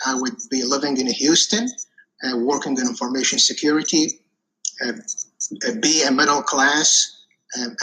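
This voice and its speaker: Bright-voiced Iraqi-born man, halting delivery. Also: mid-range voice, poor audio. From Iraq. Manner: hesitant, many fillers and restarts. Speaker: podcast guest. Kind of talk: casual interview answers.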